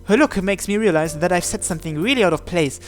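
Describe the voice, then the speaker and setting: Narrator brief: man, slightly high voice, dramatic first-person story reading for a visual novel, soft music underneath. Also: lively intonation, quick pace. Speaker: channel host reading visual novel text aloud.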